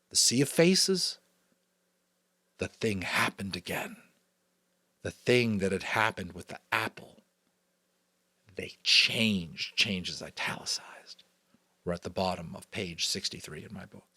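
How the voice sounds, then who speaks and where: A middle-aged American man reading a novel aloud theatrically, with hushed asides and casual commentary. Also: fairly low pitch, slightly rough, dry recording. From United States. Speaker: audiobook reader and host.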